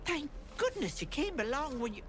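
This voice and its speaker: A woman's voice: high-pitched, very animated, moderate pace. A woman voicing a doting old potion-selling auntie in a video game, fussing in a folksy, sugary manner. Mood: fussing, sweet. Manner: doting, folksy, singsong pet names. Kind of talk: video game character acting.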